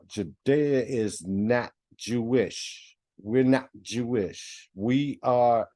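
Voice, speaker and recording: A man speaks in a slow, emphatic preaching manner, repeating key lines to drive them home. His voice is warm and mellow, recorded close and dry with little room sound. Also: medium pitch, no room sound. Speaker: religious video host. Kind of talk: preaching commentary over clips.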